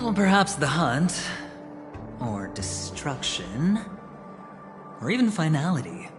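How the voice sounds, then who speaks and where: A man playing a smooth, scheming corporate gambler in a video game, talking theatrically and teasingly with dramatic pauses. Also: on the high side, big pitch swings. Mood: theatrical storytelling. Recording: background music under the voice. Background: music.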